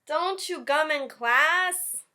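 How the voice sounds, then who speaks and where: A woman's voice: sits high, drawn-out words, pushed and bright, pitched above the performer's own voice, full character voice. A woman voicing a strict, exasperated schoolteacher giving firm, short orders to a naughty pupil in a picture-book read-aloud.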